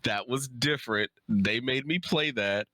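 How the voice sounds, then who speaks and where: Slow-talking man hosting a casual stream. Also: medium pitch, a crowd in the background. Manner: playful, informal, bantering. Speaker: livestream host introducing a guest. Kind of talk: casual livestream chat.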